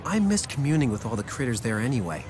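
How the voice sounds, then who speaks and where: An actor playing a proud but wounded young devotee in a video game, stiff, earnest and dramatic. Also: male voice, medium pitch, sing-song, fast talker, background noise, natural voice. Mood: humbled, determined. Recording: loud music behind the voice. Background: loud background music.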